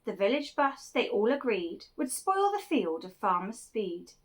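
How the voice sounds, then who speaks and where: A woman reading a rhyming children's picture book aloud, expressively and with deliberate dramatic emphasis. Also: mid-range voice, big pitch swings. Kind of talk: animated children's story reading, rhyming verse.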